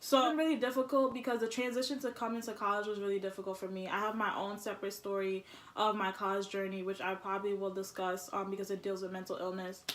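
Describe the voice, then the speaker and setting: A woman's voice: medium pitch, little pitch movement, fast, a little hiss or hum. A woman, chatting candidly and informally as a vlog host. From born in Haiti, immigrated to the United States at four. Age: early 20s. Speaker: vlog host, college student. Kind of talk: casual personal chat. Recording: echoey room.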